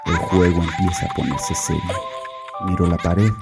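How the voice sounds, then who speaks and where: An actor playing a tormented, guilt-ridden religious father, narrating a horror audio drama gravely and deliberately. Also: low voice, fairly even intonation. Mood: ominous, obsessed. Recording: voice over prominent music.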